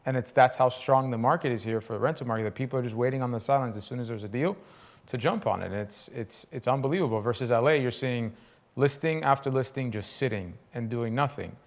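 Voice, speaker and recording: A man hosts a relaxed podcast interview and talks about the market in a blunt, emphatic way. His questions and opinions come in plain, everyday conversation. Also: mid-range voice, fast talker. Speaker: podcast host.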